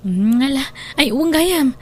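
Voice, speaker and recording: A woman's voice: high voice, animated, moderate pace. A woman playing a kindly, practical restaurant boss in a radio drama, reassuring and questioning. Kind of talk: Ilocano radio drama acting.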